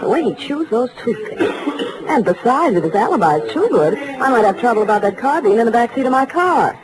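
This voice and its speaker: An actress with an American accent playing a hesitant, coy, evasive widow in a 1940s radio detective drama. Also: female voice, fairly high pitch, lively intonation, a little fast, lightly acted. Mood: catty, defensive. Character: flustered, evasive newly widowed American woman client. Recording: noisy old-time radio recording.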